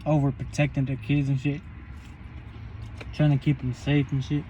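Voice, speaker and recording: A man's voice: medium pitch, little pitch movement, normal speed, mellow, muffled recording, slight background noise. A young man talking while he eats in a parked car, in a loose, rambling and profane way for his vlog. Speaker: vlogger. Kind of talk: casual eating vlog monologue.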